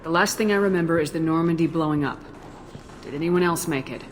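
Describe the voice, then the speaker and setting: A woman's voice: low-pitched, fast talker, slight background noise, natural voice. A woman playing a composed, direct military commander in a video game, with a questioning manner. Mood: inquiring. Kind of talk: video game dialogue acting.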